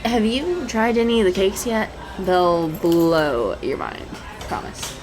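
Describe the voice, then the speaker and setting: A woman's voice: medium pitch, lively intonation, slow pace, poor audio. A woman playing a shy, adorkable young writer in an audio roleplay, speaking hesitantly with stammers, nervous giggles and apologetic phrasing. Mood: awkward, friendly. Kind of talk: intimate one-sided audio roleplay acting. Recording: clear background noise, cafe ambience effects. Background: coffee shop sound effects.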